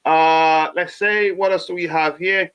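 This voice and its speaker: A man, hosting a live fan stream and reading viewer comments, halting with many fillers, his voice still touched by a cold. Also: a little high, steady tone, clear, resonant tone, muffled recording. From Nigeria. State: recovering from a cold. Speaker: fan channel host.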